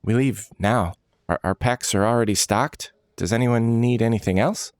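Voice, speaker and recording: Man voicing a steady, earnest adventuring leader. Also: normal speed, clean tone, dry recording, studio quality, lightly acted. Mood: decisive. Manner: low-key, steady, serious.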